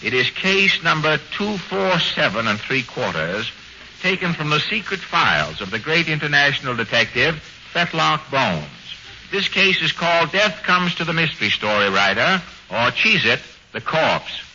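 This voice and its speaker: A man hosting a 1940s radio comedy, dry and mock-stern with quick quips. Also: a little high, big pitch swings, bright, forceful tone. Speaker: comedy show host. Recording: dull old radio broadcast, faint hiss.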